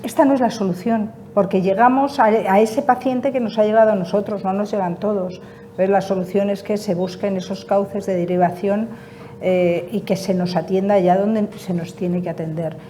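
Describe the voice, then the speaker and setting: A woman's voice: mid-range voice, steady tone, normal speed, warm tone, a little hiss or hum. A woman representing a patients' association, answering interview questions emphatically and repeating her key points. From Spain.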